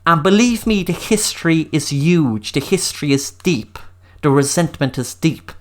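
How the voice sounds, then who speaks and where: A woman's voice: deep voice, expressive, normal speed. An Irish woman hosting a solo podcast, talking in an earnest, emphatic way and repeating words for emphasis. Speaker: podcast host. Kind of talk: solo podcast talk with book review.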